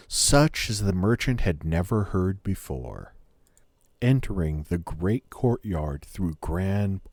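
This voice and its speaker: A man narrating a scripted fairy tale as a storyteller, in a measured, dramatic manner. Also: lowish voice, a little mellow, no echo.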